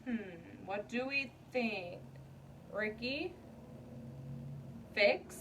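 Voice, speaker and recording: A woman with a fairly high voice leads a children's story time like a classroom teacher. She reads aloud and talks to young pupils, checking in with short questions. The microphone sits away from her, and there is noticeable background noise. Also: extremely slow. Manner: addresses young pupils, frequent checking questions like "okay?" and "right?". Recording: distant mic, clear background room noise.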